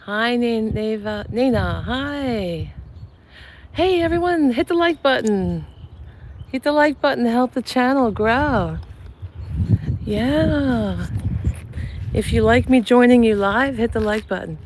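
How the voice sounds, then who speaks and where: A soft-spoken woman, a psychic medium, chatting gently in a live stream while she walks through a cemetery among blossoming cherry trees. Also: on the high side, animated, takes it slowly, long pauses. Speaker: psychic medium and channel host. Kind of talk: casual live-stream chat while walking. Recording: outdoor live stream with clear ambient noise. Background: birds and passing traffic.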